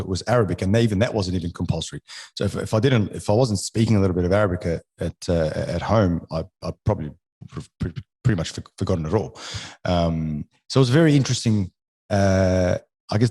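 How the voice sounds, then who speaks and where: A man's voice: low voice, mellow, breathy edge. A man in his forties from Egypt whose first language is French, a podcast guest talking casually, often restarting and ending sentences with "right?". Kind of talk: casual interview conversation.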